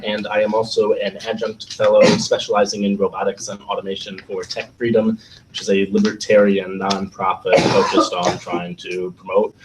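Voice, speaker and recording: Raspy guy moderating a panel, lowish voice, kinda far from the mic. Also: noisy background. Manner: reads guest bios with fillers and small slips. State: recovering from illness.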